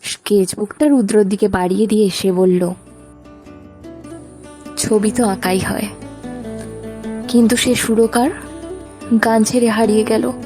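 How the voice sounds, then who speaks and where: A woman's voice: mid-range voice, steady tone, measured pace, long pauses, music in the background. A woman reading scripted narration for a romantic audio story.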